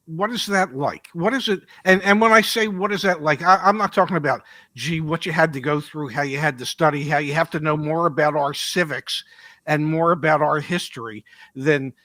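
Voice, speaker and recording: An older American man with a bright, forceful voice, set a little high. He talks in a deliberate storyteller's way as a podcast host, recorded close and dry. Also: no echo. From United States. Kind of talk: conversational interview.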